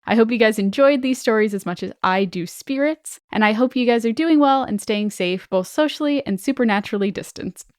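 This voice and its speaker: A woman hosting a podcast, reading stories aloud and chatting casually in an expressive, enthusiastic way. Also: on the high side, fairly quick, few pauses, no echo, clean studio sound. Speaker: podcast host.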